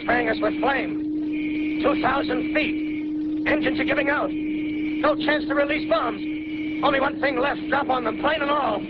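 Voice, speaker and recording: An actor playing a grim, dutiful army bomber pilot giving clipped radio reports in a 1930s radio drama. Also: male voice, very high-pitched. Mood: desperate, resolute. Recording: muffled 1930s radio, loud engine-like noise.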